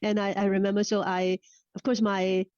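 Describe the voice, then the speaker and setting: A middle-aged woman, a Cantonese speaker, answers interview questions in a halting way, repeating and restarting her words. Her voice sits at an ordinary pitch. The recording is dry and a little dull. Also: level tone, a little mellow, no echo. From Hong Kong. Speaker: guest. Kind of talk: casual interview chat. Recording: slightly dull, dry sound.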